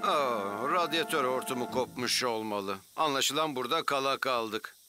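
A man's voice: medium pitch, moderate pace, natural voice. An actor voicing an anxious, doubtful male handyman in a cartoon dub. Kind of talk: Turkish cartoon dub acting. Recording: loud music under the voice.

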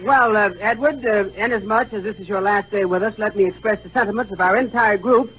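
A man's voice: sits high, fairly quick, heavy background noise, close to an everyday voice. An actor playing an earnest, pompous, wordy straight man in a 1930s radio comedy act on a muffled recording.

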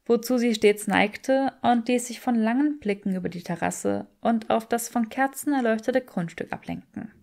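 A woman's voice: medium pitch, vocal fry, darkish tone. A woman reading fiction aloud as an audiobook narrator.

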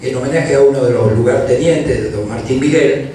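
A man's voice: mid-range voice, brisk, dark tone, distant mic, a little hiss or hum. A man with an Argentine accent telling an anecdote on a panel in a university hall. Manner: storytelling, anecdotal. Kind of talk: panel discussion. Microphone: handheld mic, far camera. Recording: roomy hall from afar.

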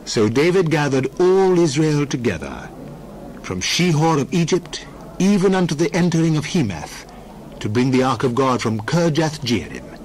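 A man narrating a dramatized Bible passage in an expressive reading voice. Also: fairly high pitch, normal speed, a little hiss or hum, rough recording. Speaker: scripture reader.

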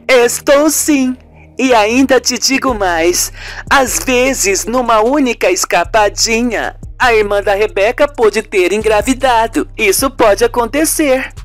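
A man's voice: very high-pitched, slow, a bit bright, soft background music, pitched above the performer's own voice, heavily acted. A man voicing a gossipy, suspicious wife in a radio serial, with an emphatic, colloquial way of talking. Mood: insistent.

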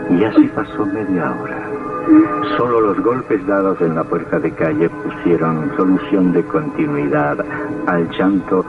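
An actor playing a sardonic, sinister horror storyteller in an old radio drama, speaking with theatrical deliberation. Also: male voice, low voice, expressive, a little slow, creaky, distant mic, heavily acted. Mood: sinister narrating. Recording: tinny, band-limited old radio with music.

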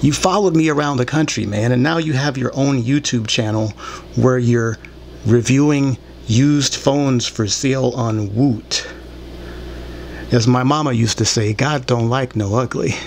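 A man's voice: medium pitch, a little slow. A man in his thirties hosting his own show, delivering a rambling, blunt and aggrieved monologue with profanity into a desktop microphone in a small room. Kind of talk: rambling opinionated monologue to camera. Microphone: desktop microphone, later held in hand. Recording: clear background noise, room ambience.

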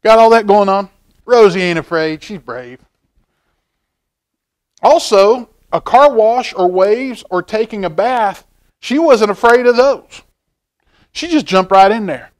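A middle-aged man reading a picture book aloud to children in a folksy, expressive way, with chatty asides. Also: high voice, takes it slowly, pauses often. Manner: folksy, expressive, asking the listener questions. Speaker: library storytime reader. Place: indoor library children's room.

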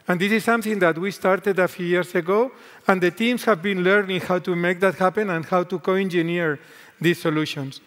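Spanish-accented executive, 50s, high-pitched, onstage keynote with hall reverb, earnest delivery. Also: male voice, fairly even intonation, fairly bright tone. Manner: earnest, deliberate corporate speech. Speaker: tech executive guest on stage. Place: large conference stage. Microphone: headset mic.

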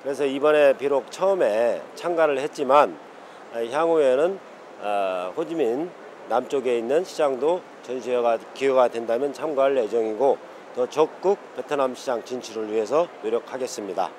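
A man in his forties, an exhibitor, giving a rapid, formal answer into a handheld mic at a trade fair. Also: medium pitch, expressive, darkish tone, slight background noise.

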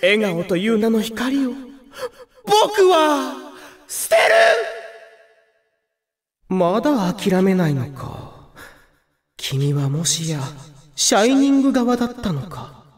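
A man's voice: high voice, big pitch swings, slow, pauses often, heavily acted. A man voicing a gloomy, theatrical young demon villain in a video game, brooding and poetic, with grandiose melodrama. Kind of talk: Japanese video game character voice clips.

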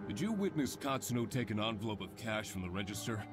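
A man's voice: on the low side, sing-song, fast talker. An actor playing a stern, accusing male store manager in a visual novel, formal and condescending. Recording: music behind.

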